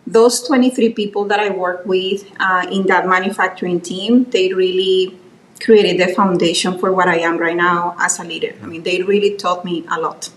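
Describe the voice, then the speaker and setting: A middle-aged woman from Colombia who learned English as an adult, speaking with a Colombian Spanish accent as an interview guest. She tells stories warmly and often restarts her sentences. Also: fairly low pitch, steady tone, moderate pace. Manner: warm storytelling with frequent restarts. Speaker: guest, quality executive. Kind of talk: conversational interview. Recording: roomy echo, faint background noise.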